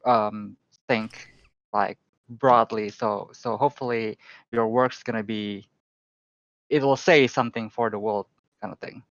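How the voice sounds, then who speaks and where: A man in his thirties from Indonesia, a guest photographer talking casually on a podcast, relaxed and joking, with lots of fillers. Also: fairly low pitch, a little slow, no echo. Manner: relaxed, jokey, frequent fillers. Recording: slightly dull lo-fi audio.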